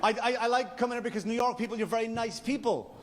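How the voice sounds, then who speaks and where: An Irish man doing stand-up comedy storytelling, with a halting, stammering, repetitive delivery. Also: extremely high pitch. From Wexford, Ireland. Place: comedy stage before a live audience. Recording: live club stage, noisy laughing crowd. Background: audience laughter and crowd noise.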